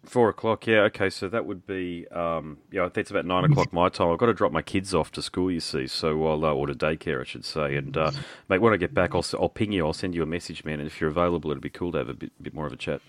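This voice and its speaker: A man's voice: deep voice, quick pace, dry recording. A man, hosting a podcast in a friendly, chatty way. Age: 40s. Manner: friendly, chatty, says mate and man a lot. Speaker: podcast host. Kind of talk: casual interview chat.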